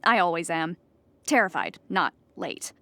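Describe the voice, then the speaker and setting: An actress playing an anxious, childlike clown who rambles earnestly in a theatrical audio comedy monologue. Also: female voice, lowish voice, animated, a little slow, creaky, fairly bright tone, dry recording, full character voice. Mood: flustered. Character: anxious, childlike clown pleading at an appeal hearing.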